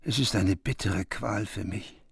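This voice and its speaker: An actor playing a bitterly tormented lord in a radio play, lamenting with laboured speech. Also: male voice, fairly low pitch, a little slow, gravelly, fairly bright tone, slightly rough, no echo, clean studio sound, heavily acted. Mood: bitter despair. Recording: distant from mic, faint music.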